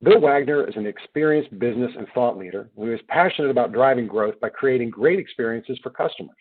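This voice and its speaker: Husky male moderator on a phone line. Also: mid-range voice. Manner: measured, formal reading. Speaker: webinar moderator. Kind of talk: read introductions.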